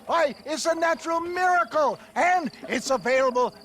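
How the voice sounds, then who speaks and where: A man's voice: very high voice, lively intonation, moderate pace, a bit bright, a little hiss or hum. An actor playing a theatrical snake-oil salesman with grandiose, wheedling carnival-barker patter in a western video game. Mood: showy, hawking. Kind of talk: video game dialogue acting.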